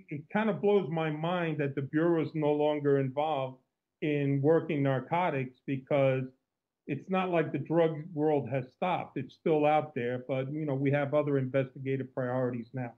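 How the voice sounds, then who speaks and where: A man's voice: fairly high pitch, steady tone, a little slow. A man with a Cuban accent, a former undercover agent, telling stories in an outgoing way as an interview guest. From Cuba. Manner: outgoing, storytelling, frequent restarts. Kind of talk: interview conversation. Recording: tinny phone-like line.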